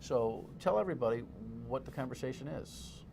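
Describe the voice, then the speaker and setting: Male TV host, gravelly voice, informal and wry, slangy emphatic delivery, slight noise behind. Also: medium pitch, animated, fairly quick, a little mellow, low-quality recording. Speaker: talk show host. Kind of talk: off-the-cuff TV commentary and interview.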